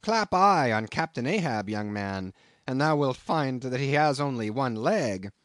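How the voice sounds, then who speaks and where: A man's voice: medium pitch, lively intonation, a little slow, dry recording, lightly acted. A man playing a blustering old sea captain. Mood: gruff. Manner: loud, blustering, archaic thee and thou. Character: gruff old Quaker whaling captain, suspicious.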